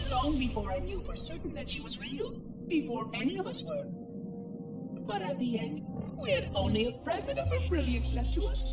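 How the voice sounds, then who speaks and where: High, fretful, lonely machine caretaker. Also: male voice, heavily acted. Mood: musing, fretful. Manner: prim, precise, musing to itself in formal speech. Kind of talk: theatrical game cutscene monologue. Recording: echoey, distant, loud music behind. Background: loud music.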